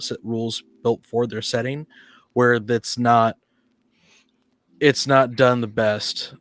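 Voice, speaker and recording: A man hosting a casual discussion of tabletop games, talking in a halting, rambling way that often repeats words. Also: mid-range voice, fairly bright tone, dry recording.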